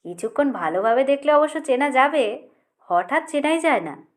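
Woman doing a young shop boy in an audiobook, simple and chatty, high voice. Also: expressive, moderate pace. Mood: amused.

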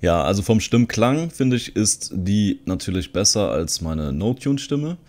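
A man's voice: fairly low pitch, expressive, unhurried, a little mellow. A man giving a casual, tutorial-style commentary as a video host. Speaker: video host, content creator.

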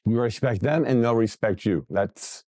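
A man's voice: mid-range voice, normal speed, a little mellow. A man in his sixties, a gym owner, talking casually and bluntly about his gym and giving a tour. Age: 60s. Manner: blunt, plain-spoken.

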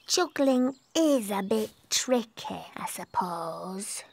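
A woman voicing an excitable, bossy little girl princess in a children's cartoon, exclaiming with childlike emphasis. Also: mid-range voice, takes it slowly, vocal fry, full character voice. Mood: sulky. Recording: music behind the voice.

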